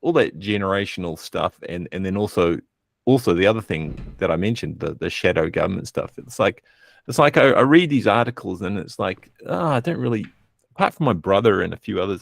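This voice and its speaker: A man's voice: low-pitched, lively intonation, brisk, gravelly, no echo. A man co-hosting a casual live stream, talking in a hesitant, rambling, thoughtful way. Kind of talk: casual chat.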